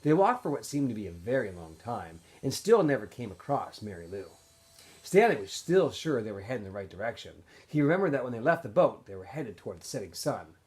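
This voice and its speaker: A man reading a novel aloud as a teacher would for students, in an expressive, animated storytelling way with phrases that often trail off softer. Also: mid-range voice, a little fast. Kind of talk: expressive audiobook-style read-aloud narration.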